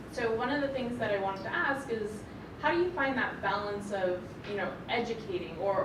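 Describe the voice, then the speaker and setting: Woman, hesitant, lots of fillers, distant mic, echoey noisy room. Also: medium pitch. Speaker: audience member asking a question. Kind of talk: rambling audience question. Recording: distant, roomy, noisy event audio.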